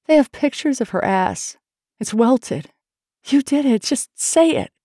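A woman voicing an anguished, defiant female lover in an audiobook, breathy and emotional, accusing and pleading. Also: high voice, sing-song, very slow, mellow, no echo, clean studio sound, pitched above the performer's own voice, exaggerated voice. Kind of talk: audiobook character dialogue.

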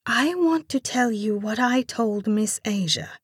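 Lady narrator doing a meek polite immigrant woman, kinda high soft voice, earnest and pleading but stands her ground. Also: expressive, unhurried, husky, no echo, clean studio sound, heavily acted.

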